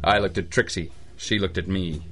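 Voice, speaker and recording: Low-voiced hard-boiled detective. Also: male voice, normal speed, faint music behind. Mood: wry, smitten. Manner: deadpan, slangy, wry. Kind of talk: old-time radio noir acting and narration.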